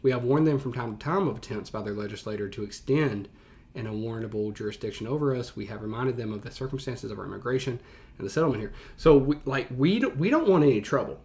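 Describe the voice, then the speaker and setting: A man with an American accent reacting out loud as a teacher, in casual slang, thinking as he goes and paraphrasing in animated fashion. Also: mid-range voice, fast talker. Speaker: teacher reacting to a historical reading.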